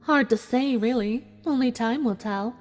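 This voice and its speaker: A woman voicing a cruel female villain pony in a dramatic fan fiction reading, deliberate and mockingly sympathetic. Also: on the high side, a little mellow, lightly acted. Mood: coolly mocking. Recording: music behind the voice.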